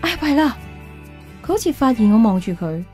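A woman's voice: a little high, big pitch swings, moderate pace. An actress playing a reflective, wistful single career woman in a radio drama, with a Hong Kong Cantonese accent. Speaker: lead actress and narrator. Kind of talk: radio drama narration and dialogue. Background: background music.

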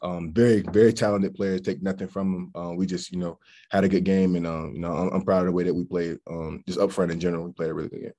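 Deep, fast-talking young man at a press conference. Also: flat delivery, warm tone. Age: young adult. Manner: earnest, rambling with fillers and restarts. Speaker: college football offensive lineman. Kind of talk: post-game press conference answers. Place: press backdrop room, indoors. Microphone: video call.

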